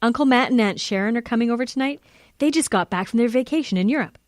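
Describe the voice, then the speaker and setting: Casting: affectionate mother , woman acting, kids' radio play. Fairly high voice, dry sound, homely and chatty. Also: fast, dry recording, natural voice. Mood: kindly, chatty. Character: kindly, chatty mother of a schoolgirl. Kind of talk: children's radio drama acting.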